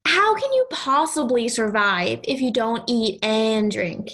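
A woman's voice: fairly high pitch, animated, unhurried, a bit bright, full character voice. A woman playing a lonely, stubborn ten-year-old girl in an audio play, speaking in an earnest, insistent, childlike way. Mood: curious, insistent.

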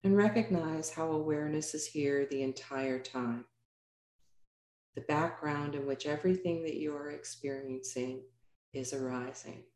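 A woman leading a guided meditation as a yoga nidra teacher, speaking calmly in measured, unhurried phrases with pauses. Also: deep voice, echoey room, slight background noise.

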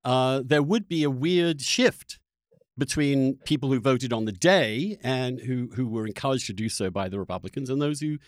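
A man's voice: mid-range voice, moderate pace, no room sound, clean studio sound. A man hosting a podcast debate, combative and insistent, pressing his point with pointed questions. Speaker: podcast host and interviewer. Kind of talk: heated political debate.

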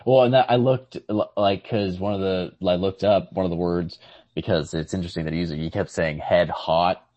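A man co-hosts a casual literary discussion, phrasing his thoughts in a halting, tentative way. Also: sits low, fairly even intonation, darkish tone. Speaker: podcast co-host.

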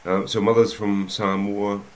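A man with a New Zealand accent, a rugby coach telling his life story as an interview guest, in an unhurried way with many pauses. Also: on the low side, flat delivery, slight background noise.

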